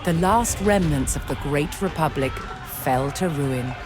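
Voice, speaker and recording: A woman delivering scripted, documentary-style game narration in a measured, solemn storytelling manner. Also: deep voice. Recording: music bed behind the narration.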